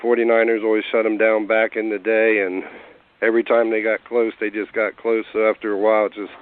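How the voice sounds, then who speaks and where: A hoarse man talking in a laid-back, rambling way, as a guest on a call-in interview. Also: fairly low pitch, little pitch movement, fairly quick, no room sound. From Cincinnati area. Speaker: guest rapper. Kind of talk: casual interview over phone. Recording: tinny phone line, dry.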